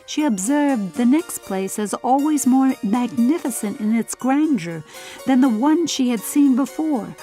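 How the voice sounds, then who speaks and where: Female audiobook narrator: high register, unhurried, formal and theatrical storybook delivery over soft music. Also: animated, poor audio. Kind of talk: scripted dramatic storybook narration. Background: faint music.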